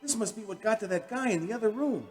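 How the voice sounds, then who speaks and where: An actor playing a frightened, excitable youth in a radio horror drama. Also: male voice, high-pitched, quick pace, close to an everyday voice. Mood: nervous. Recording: loud music over the voice.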